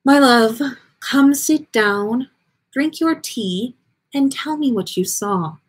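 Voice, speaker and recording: A woman voicing a gentle, proud lady ghost with a soothing, tender manner in a story reading. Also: on the high side, expressive, slow pace, slightly rough, full character voice.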